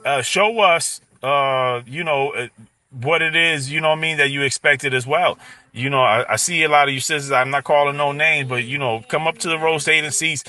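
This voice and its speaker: A man's voice: medium pitch, bright, forceful tone, distant mic. A man hosting a casual live panel stream, talking in a loose, rambling way and leaning on "you know what I'm saying".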